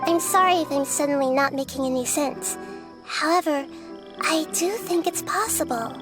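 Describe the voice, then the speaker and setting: A woman voicing a gentle young goddess. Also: high-pitched, moderate pace, lightly acted. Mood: thoughtful. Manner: soft-spoken, thoughtful and reasoning. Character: youthful goddess of wisdom, gentle and thoughtful. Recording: game audio with music. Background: game music.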